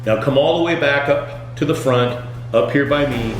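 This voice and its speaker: A man delivering scripted comedic tour-guide patter as a boat skipper, with deadpan, punning jokes. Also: mid-range voice, normal speed. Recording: very echoey room, music underneath.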